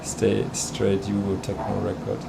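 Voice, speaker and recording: Male streamer, native Bosnian speaker, casual and hesitant, slow delivery, noticeable hum behind him. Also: fairly low pitch, monotone, creaky. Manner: hesitant, many fillers and restarts. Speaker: livestream host reviewing music tracks. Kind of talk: casual livestream chat.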